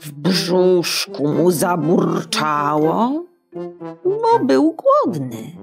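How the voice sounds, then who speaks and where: A woman narrating a children's audiobook in a playful, expressive way. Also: lowish voice, extremely slow, music in the background. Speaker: storyteller.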